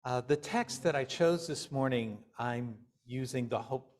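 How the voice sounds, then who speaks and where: A male minister delivering a sermon in deliberate, measured phrases with pauses. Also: medium pitch.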